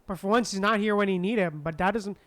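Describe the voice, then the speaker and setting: A woman's voice: medium pitch, fast talker, no room sound. A woman streaming a game, reading dialogue aloud and reacting in a casual way. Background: game background music.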